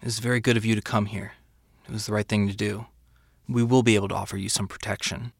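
An actor playing a calm, courteous male police detective in an audio drama. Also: on the low side, fast, gravelly, no room sound, clean studio sound. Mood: calm, questioning.

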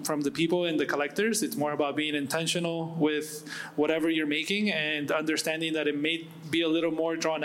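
Earnest man, slightly high, even tone. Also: low-quality recording. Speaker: panelist. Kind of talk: live panel discussion.

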